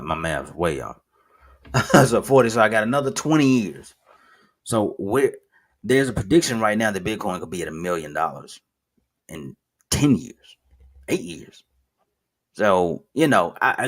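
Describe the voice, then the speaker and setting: Man, 30s, very low voice. Casual, rambling online host who stops to correct himself. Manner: rambling, self-correcting, with frequent fillers and restarts; informal, addresses viewers as "guys". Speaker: finance video host. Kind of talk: casual screen-share commentary.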